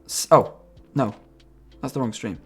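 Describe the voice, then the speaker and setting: A man hosting a livestream, chatting casually in a relaxed, rambling way and laughing often. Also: medium pitch, unhurried, breathy edge, faint music behind. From United Kingdom. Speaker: streamer host.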